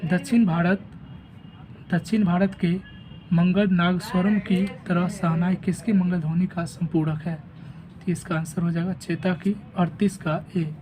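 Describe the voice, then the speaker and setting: A man with a sore throat and a rough voice, steadily reading exam questions and giving each answer and option like a teacher. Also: high-pitched, fairly even intonation, unhurried, noisy background. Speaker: teacher going over an exam answer key.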